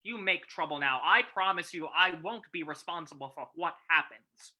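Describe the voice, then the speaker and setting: A woman performs a hard-boiled male commissioner from an old radio mystery. The voice sits low for a woman and cuts very sharply. The delivery is clipped, gruff and sarcastic. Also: lively intonation, normal speed, sharp tone, full character voice. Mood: gruff, accusing. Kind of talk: old-time radio play reading.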